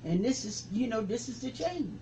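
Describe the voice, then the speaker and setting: A man in his seventies, a reverend, recalling the past in an interview with a preaching cadence that rises to forceful emphasis. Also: very high voice, lively intonation, moderate pace, slight background noise, rough recording. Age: 70s. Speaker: reverend, civil rights veteran. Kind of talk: interview reminiscence.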